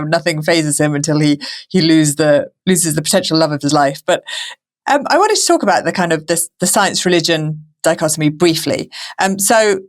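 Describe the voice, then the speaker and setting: Lowish-voiced woman hosting a film podcast. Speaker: podcast host. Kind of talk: casual film discussion.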